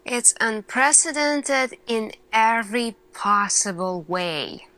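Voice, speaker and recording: A woman playing an earnest lead scientist in an ASMR roleplay, speaking deliberately in short phrases with dramatic pauses. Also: a little high, animated, bright, forceful tone. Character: earnest female lead scientist addressing her team. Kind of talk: slow ASMR roleplay monologue.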